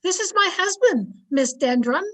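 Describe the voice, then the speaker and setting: An actress playing a folksy, sociable, wry wife in an old-time radio comedy, polite and gently teasing. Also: female voice, extremely high pitch, lively intonation, normal speed. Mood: folksy, pleased.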